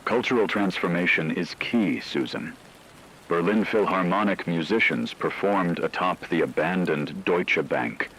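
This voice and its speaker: Low-voiced guy doing formal radio news, bit of rainy static noise. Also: fairly bright tone, low-quality recording. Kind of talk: scripted news narration.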